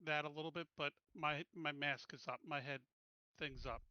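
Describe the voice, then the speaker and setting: A man with a fairly high, bright, forceful voice, chatting casually as a player in a tabletop game. It is clean and dry, recorded slightly dull. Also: steady tone, moderate pace, clean tone, no echo.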